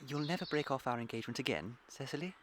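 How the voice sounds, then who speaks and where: Man playing an ardent young British gentleman, lively pitch swings. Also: quick pace, a little hiss or hum, natural voice. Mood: ardent, wounded. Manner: ardent, flustered, theatrical. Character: impetuous, charming young English gentleman suitor. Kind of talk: comic period radio play acting.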